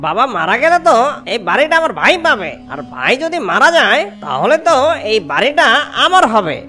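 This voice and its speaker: A woman's voice: fairly high pitch, sing-song, brisk, sharp tone. A woman voicing a greedy, resentful young fox brother in a children's cartoon, with dramatic, exclamatory delivery. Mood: indignant, scheming.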